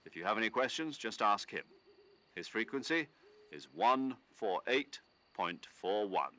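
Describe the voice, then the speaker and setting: An actor playing a middle-aged military commander in a video game, briefing an agent in an authoritative, precise and expressive way. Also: male voice, on the low side, a little slow, heavily acted. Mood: brisk, businesslike. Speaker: mission commander.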